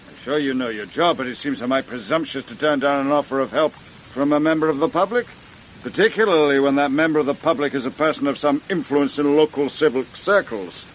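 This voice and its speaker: An actor playing a pompous, tipsy country landowner in a radio drama, haughty and self-important. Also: male voice, mid-range voice, brisk, slight background noise, close to an everyday voice, poor audio.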